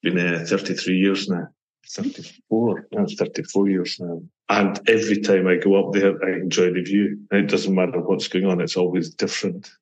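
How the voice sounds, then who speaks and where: Low flat scottish guy, middle-aged, explaining stuff on a podcast, steady and listy. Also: moderate pace, dull audio. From Scotland. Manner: explanatory, lists details steadily. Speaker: guest from a mountain attraction. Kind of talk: podcast interview.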